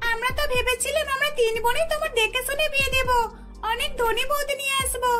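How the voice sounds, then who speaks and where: A woman's voice: very high voice, fairly even intonation, fast, bright, forceful tone, soft background music, voice pushed up. A woman voicing a haughty, greedy young sister-in-law in a cartoon, whiny, taunting and bossy. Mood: disappointed, scornful.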